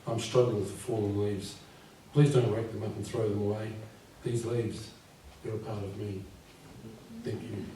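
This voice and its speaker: Croaky older man reading poetry. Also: fairly low pitch, level tone, moderate pace, heavy background noise. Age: 50s. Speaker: poet. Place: live venue with audience. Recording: echoey room from far off.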